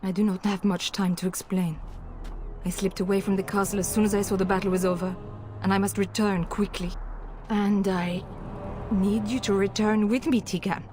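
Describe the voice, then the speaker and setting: An actress playing a frightened noblewoman, pleading anxiously in halting, broken phrases, with clear music behind her. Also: mid-range voice, level tone, close to an everyday voice. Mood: desperate.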